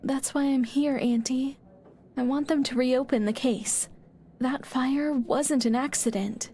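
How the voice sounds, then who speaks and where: A woman's voice: high voice, steady tone, measured pace, loud music behind the voice. A woman playing an earnest, pleading young orphaned niece in a game cutscene. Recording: loud game sound behind.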